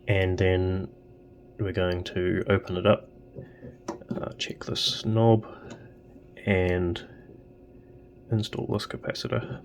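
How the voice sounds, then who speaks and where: A man narrating casually and haltingly as he tinkers at a workbench, thinking aloud. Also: sits low, little pitch movement, slight background noise. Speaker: host of electronics tinkering videos.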